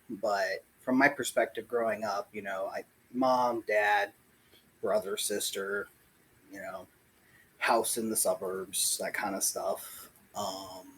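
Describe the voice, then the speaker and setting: A man in his forties, born in Puerto Rico and raised in the US South, recalls his life in a casual video-call interview, pausing often and thinking aloud. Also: on the low side, a little hiss or hum, low-quality recording. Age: 40s. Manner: slow, reflective, pauses often with "um" and "like". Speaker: interview guest. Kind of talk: casual oral history interview.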